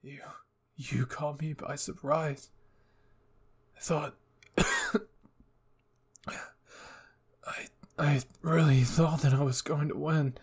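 Man acting a weary, husky young anime villain. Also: on the high side, fairly even intonation, a little mellow, full character voice. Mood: weak, confused. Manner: halting, weak, pleading. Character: wounded, remorseful young male villain, weary and soft. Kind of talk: anime ASMR roleplay acting.

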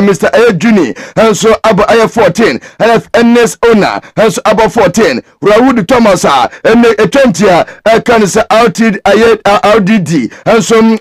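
A man's voice: high-pitched, slightly rough, dry recording. A man rattling off football results and league tables, mixing English words into his speech. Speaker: sports news presenter. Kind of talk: fast football results rundown.

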